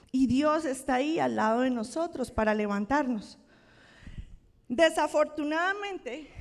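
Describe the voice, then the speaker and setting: High-voiced Colombian woman preaching. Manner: conversational, checks in with the congregation. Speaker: pastor. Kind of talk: sermon. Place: church sanctuary. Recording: long church-hall reverb.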